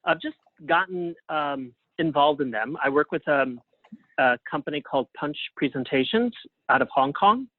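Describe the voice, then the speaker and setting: A man giving casual interview answers as a guest over a phone line, in a halting way with frequent restarts. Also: a little high, lively intonation, dry recording. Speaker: guest, playwright and librettist. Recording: thin phone-line sound.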